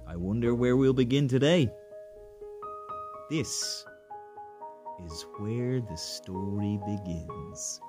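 A narrator reading a scripted podcast introduction in a measured storytelling manner. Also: medium pitch, lively intonation. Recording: loud music under the voice. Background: loud pizzicato instrumental music.

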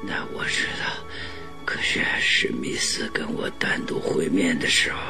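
An actor playing a gravely ill detective in a radio drama, feeble yet commanding, giving halting, breathy orders. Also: male voice, very deep voice, huge pitch swings, music in the background, exaggerated voice. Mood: urgent, secretive. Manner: halting, breathy whispers, weakly urgent orders. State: weak, bedridden sounding. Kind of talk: Mandarin radio drama acting.

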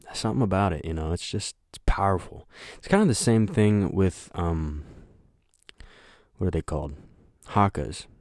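A young man hosting a solo podcast, talking in a flat, monotone voice that rambles, with lots of hesitation and filler words. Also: deep voice, normal speed, no room sound, clean studio sound. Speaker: podcast host. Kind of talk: casual solo podcast chat.

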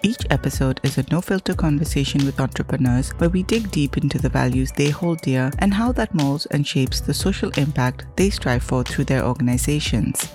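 A man hosting a podcast who reads a scripted introduction in a measured way and then asks questions. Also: fairly high pitch, a little mellow, soft background music. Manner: measured, read-aloud delivery. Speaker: podcast host.

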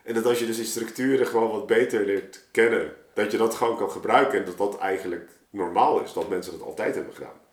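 Deep-voiced man, roomy echo. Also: fast, hardly any pauses. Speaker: guest artist and storyteller. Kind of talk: casual, reflective storytelling in an interview. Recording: roomy, echoing space.

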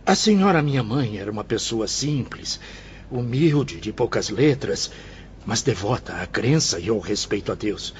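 A Brazilian actor playing a humbled former bohemian, earnest and formal, in a declamatory radio drama style. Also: male voice, lowish voice, animated, normal speed, natural voice. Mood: wistful, regretful. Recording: dull radio recording with faint noise.

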